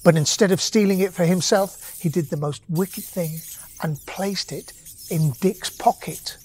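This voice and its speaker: A woman narrating a bedtime story with gentle, expressive pacing and dramatic pauses. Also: on the low side, slightly rough.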